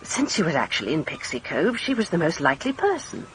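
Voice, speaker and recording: A woman's voice: low-pitched, very animated, fast, a little hiss or hum, poor audio. An actress playing a poised, guarded woman suspect with an English accent in a radio mystery drama. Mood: defensive, uneasy.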